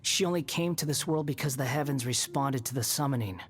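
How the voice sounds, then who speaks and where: A man's voice: medium pitch, a little fast, natural voice. An actor playing a proud, bitter young male wanderer in a video game, with a dry, aloof, cutting manner. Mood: guarded, serious. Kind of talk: video game character acting.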